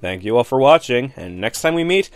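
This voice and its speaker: A man's voice: medium pitch, big pitch swings, a little fast, no echo. A man hosting a review series, giving sarcastic scripted commentary with mock disbelief. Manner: sarcastic, mock-incredulous, swears freely.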